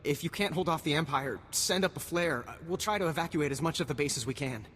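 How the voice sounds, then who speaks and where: A man's voice: fairly high pitch, fast talker, fairly bright tone, lightly acted. An actor playing an earnest, anxious male army lieutenant in a video game, urgent and sometimes faltering. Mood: tense. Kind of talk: scripted video game cutscene acting. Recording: long reverberant hall, faint background noise.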